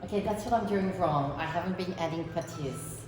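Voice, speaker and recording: A woman hosting a cooking show, chatty with quick short replies to her guest. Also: on the low side. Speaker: host. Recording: echoey, far from the mic, music behind.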